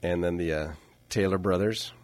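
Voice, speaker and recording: A man hosting a casual radio interview, playful and quick to laugh. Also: sits low, dry recording. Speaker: radio show host.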